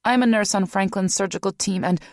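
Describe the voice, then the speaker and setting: A woman playing a brisk nurse with a chirpy, raised voice that stays upbeat and professional. The sound is dry and close. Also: medium pitch, no room sound, pitched above the performer's own voice, close to an everyday voice.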